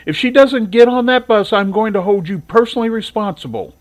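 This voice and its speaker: A man voicing a shrill, demanding middle-aged mother who yells orders at her kids. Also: animated, fairly quick. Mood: shrill, bossy. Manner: yelling, bossy.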